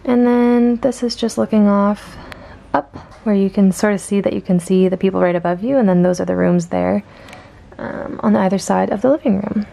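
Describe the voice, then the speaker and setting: Young canadian student girl, chatty tour voice, lots of vocal fry, kinda mellow, camera in hand. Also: medium pitch, moderate pace. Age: young adult. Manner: chatty, upbeat, with filler ums and small laughs. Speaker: student vlogger. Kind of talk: casual walkthrough narration. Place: outdoors on campus, then indoors in a dorm room.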